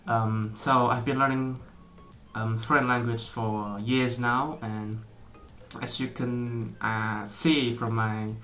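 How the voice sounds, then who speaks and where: Young man, Indonesian accent, bright tone, hesitant casual vlog talk, soft music behind. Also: mid-range voice, little pitch movement, slow pace. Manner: hesitant, many fillers and restarts, laughs often. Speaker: vlogger.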